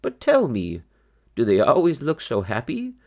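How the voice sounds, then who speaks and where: A wise old grandfather bunny from a storybook, put on by a male narrator, full of benevolence. He speaks gently and in a measured, grandfatherly way, with pitch that rises and falls in broad swoops. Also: wildly swooping pitch, full character voice. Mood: kindly curious.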